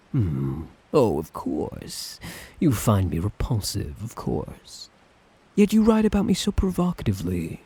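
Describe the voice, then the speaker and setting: A man playing a smug, obsessive dictator in an ASMR roleplay, theatrical and drawling, with condescending, menacing affection and a husky voice. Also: lowish voice, darkish tone, heavily acted. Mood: seductive, obsessive. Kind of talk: ASMR roleplay, dramatic monologue to listener.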